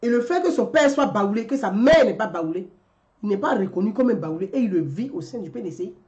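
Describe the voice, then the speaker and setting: A woman's voice: medium pitch, lively intonation, a little fast, darkish tone, lo-fi sound. A woman, delivering a rhetorical political commentary and repeating her questions. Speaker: commentary vlogger.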